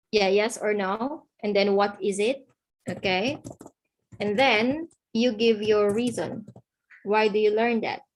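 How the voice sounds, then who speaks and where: A woman's voice: medium pitch, a little hiss or hum, low-quality recording. A woman teaching an online lesson, speaking patiently and checking in with "okay?" after each point. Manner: slow, patient, repeats key words with "okay?".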